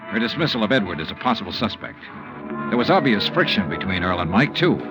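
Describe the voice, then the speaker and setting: An actor playing a hard-boiled insurance investigator who narrates and questions people in a dry, clipped, matter-of-fact way, in 1950s radio drama style. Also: male voice, mid-range voice, natural voice. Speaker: narrator and lead detective. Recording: muffled old radio broadcast over music.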